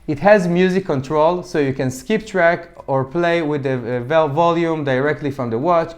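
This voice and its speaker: A man whose first language is Hebrew, reviewing a sports watch in a plain, methodical voice-over with occasional stumbles. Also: a little high. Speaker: gear reviewer.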